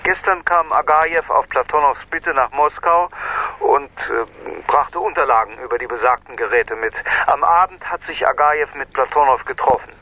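An actor playing a businesslike senior police investigator, giving a formal, precise briefing in a radio drama. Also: male voice, mid-range voice, moderate pace, sharp tone, lo-fi sound, a little hiss or hum, close to an everyday voice.

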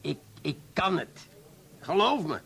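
A self-important Amsterdam barfly in a comic radio play. The voice is bright and gravelly and swoops up and down as he makes his pompous, eager case. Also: a little high, very animated, slow, exaggerated voice. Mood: eager pleading. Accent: Amsterdam Dutch. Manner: pompous, formal wording, indignant. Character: self-important, eager cafe regular and butt of jokes.

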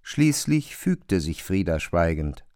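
A man's voice: low voice, very animated, slow pace, darkish tone, dry recording, clean studio sound. A man narrating a literary radio play in German, as the storyteller between the acted scenes.

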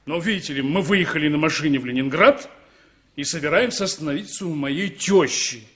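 An actor in a 1960s Soviet radio play as a self-important, pushy car owner, pompous and officious. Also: male voice, on the high side, lively intonation, a little fast, fairly bright tone. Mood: impatient, pushy.

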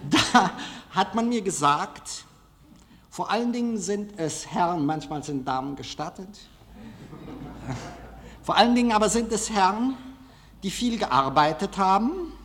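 A man giving a live public lecture in a hall, hesitant, halting and self-deprecating as he speaks. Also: sits high, lively intonation, a bit bright. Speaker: film director giving a lecture. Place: large formal auditorium, indoors.

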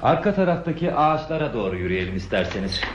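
An actor playing a gentle, attentive man in a radio play, speaking politely and patiently as he reassures and probes. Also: medium pitch, big pitch swings, very fast, lightly acted. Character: gentle, attentive male confidant, courteous and patient. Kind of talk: Turkish radio theatre acting. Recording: muffled lo-fi radio drama, faint noise.

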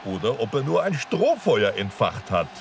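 A man playing an excitable football commentator delivering match commentary in a radio drama. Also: medium pitch, normal speed, vocal fry. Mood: reporting. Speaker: sports commentator. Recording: noisy sports broadcast ambience.

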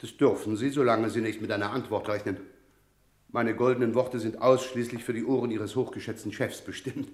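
An actor playing a smooth, self-assured auditor in a German radio drama, speaking with flowery, ironic politeness. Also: male voice, fairly low pitch, steady tone, fairly quick, close to an everyday voice. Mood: cocky, ironic. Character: smooth, cheeky, self-assured male auditor with flattering airs.

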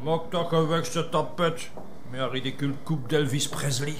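An actor playing a scrappy, defiant prisoner with a colourful Brussels accent, mixing French exclamations into Dutch in a TV drama. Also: male voice, on the high side, fairly even intonation, moderate pace, slight background noise, lightly acted. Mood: defiant, scornful. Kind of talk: naturalistic TV drama acting.

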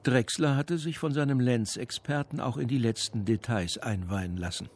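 A man narrating a radio crime drama in measured, long flowing sentences, with a slightly gravelly voice. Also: medium pitch, a little mellow, close to an everyday voice. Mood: composed, wry. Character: composed narrator of a crime story. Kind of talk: scripted third-person radio drama narration. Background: music.

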